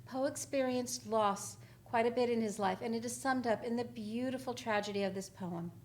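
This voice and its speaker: Young woman, presenter, scripted introduction, somewhat high voice. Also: moderate pace, a little hiss or hum.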